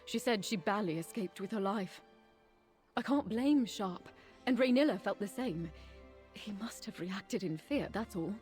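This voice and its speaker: A woman's voice: medium pitch, lively intonation, measured pace, a little mellow, faint music behind, close to an everyday voice. An actress playing a worried, earnest woman who pleads for help in a video game. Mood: worried, regretful. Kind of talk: scripted video game dialogue.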